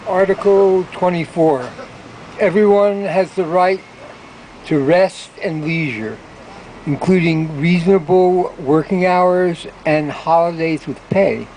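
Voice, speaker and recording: A man with a fairly high voice reads formal text in public. He goes slowly, phrase by phrase, outdoors with faint traffic behind him. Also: a little mellow, low-quality recording. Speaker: public reader at a rally. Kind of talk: reading a declaration aloud. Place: outdoor town plaza.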